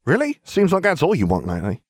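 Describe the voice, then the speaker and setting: A man's voice: fairly high pitch, wildly swooping pitch, fast talker, no room sound, clean studio sound, pitched above the performer's own voice. A man doing a sulky sarcastic teen boy. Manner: sarcastic, accusing. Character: sulky, grumbling teenage boy.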